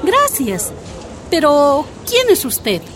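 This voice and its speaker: A woman's voice: high-pitched, huge pitch swings, extremely slow, some chatter behind, exaggerated voice. A woman playing a humble, unassuming miners' wife and leader in a radio drama. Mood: wary. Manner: unassuming, questioning. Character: humble, plain-spoken Bolivian miners' wife and women's leader.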